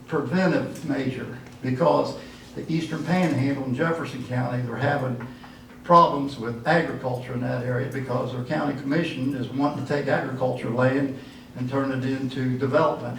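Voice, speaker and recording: A man's voice: mid-range voice, creaky, a little hiss or hum. An older man giving a folksy, rambling campaign speech at a dinner. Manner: folksy, rambling, with frequent fillers. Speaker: political candidate. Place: church hall at a dinner event. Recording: echoey hall from far off.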